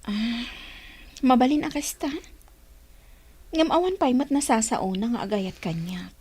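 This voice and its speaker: Guarded young mother, radio drama: husky-breathy, hesitant, earnest, dry studio sound. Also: fairly high pitch, expressive, measured pace, darkish tone, dry recording, full character voice.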